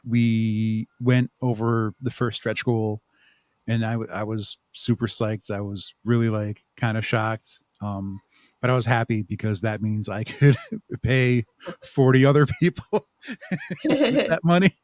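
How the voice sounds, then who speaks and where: A man's voice: on the low side, no echo. Casual, thoughtful man with a dry, close sound. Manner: thoughtful, halting, chuckles while talking. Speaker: podcast guest, audio drama creator. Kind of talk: casual interview conversation.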